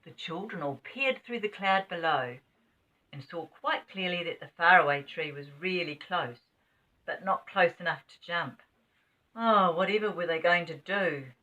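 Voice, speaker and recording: A woman's voice: fairly low pitch, unhurried, sharp tone. An older woman reading a children's story expressively, as a grandmother would. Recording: dull, distant home recording.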